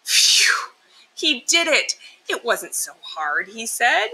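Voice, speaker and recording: A woman's voice: a little high, takes it slowly, rough recording. A woman reading a children's picture book aloud expressively, explaining and emphasizing things for young listeners. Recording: clear steady background noise.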